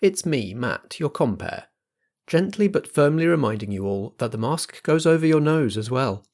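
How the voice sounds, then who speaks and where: A man's voice: mid-range voice, big pitch swings, normal speed, a little mellow. A man hosting as compere, giving a scripted, theatrical programme introduction with a chatty, luvvie-style address. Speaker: host, compere.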